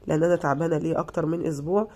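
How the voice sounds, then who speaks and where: A woman with an Egyptian Arabic accent, hoarse from being ill, giving a chatty, reassuring voice-over for a cooking tutorial. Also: low voice, fairly even intonation, rapid-fire, dark tone. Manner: chatty, reassuring, repeats herself. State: hoarse from illness. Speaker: cooking channel host.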